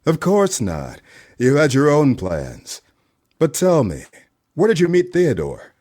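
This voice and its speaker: A man's voice: on the high side, huge pitch swings, takes it slowly, darkish tone, breathy edge, heavily acted. A man playing a dogged, wordy private detective with theatrical hardboiled delivery in a comedic noir radio play. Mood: probing. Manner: theatrical, hardboiled first-person narration and probing questions.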